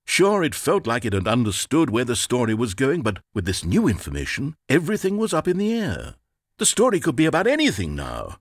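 A grandly theatrical, sarcastic narrator for a video game. His husky voice swoops up and down with huge expressive range. It is recorded dry and close, with no room sound. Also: medium pitch, a little fast, no room sound. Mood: mock grandeur. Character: pompous, theatrical male narrator, middle-aged. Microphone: close studio mic.

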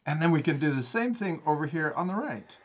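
A man's voice: on the high side, animated, brisk, husky. A man hosting a step-by-step drawing lesson for children in a casual, encouraging manner. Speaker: art teacher and host.

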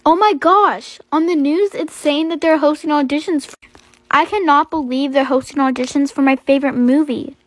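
A woman's voice: sits high, moderate pace, no echo, natural voice. A woman voicing a put-upon but hopeful teenage girl, earnest and given to complaining. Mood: excited.

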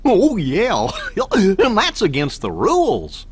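A man playing a dim, kindly, good-natured cartoon dog in an animated video game, with a hoarse, goofy voice. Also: high voice, takes it slowly, heavily acted.

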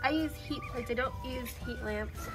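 A woman in her twenties chatting informally to the camera in a casual farm vlog, doting and quick to laugh. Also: sits high, over music. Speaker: farm vlogger. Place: wooden barn and farmyard. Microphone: phone at arm's length.

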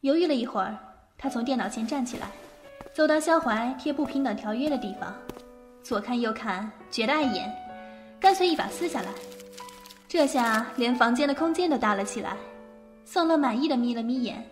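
A woman's voice: on the high side, animated, brisk, soft background music. A woman giving scripted narration for an audio drama.

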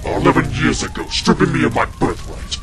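A woman's voice: fairly quick, natural voice. A woman voicing a vengeful demon minion from a video game, making grand, threatening declarations. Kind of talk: video game villain acting. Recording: music behind the voice.

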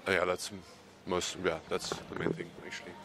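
A man's voice: low-pitched, completely flat delivery, a little fast, gravelly, a crowd in the background. A young man from Germany, a student, answering thoughtfully into a handheld mic in an indoor hallway. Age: 20s. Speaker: student interviewee. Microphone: handheld interviewer mic.

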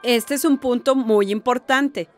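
A woman's voice: a little high, unhurried, faint music behind, lightly acted. An actress playing a thoughtful, questioning village woman in a radio drama. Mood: thoughtful, probing.

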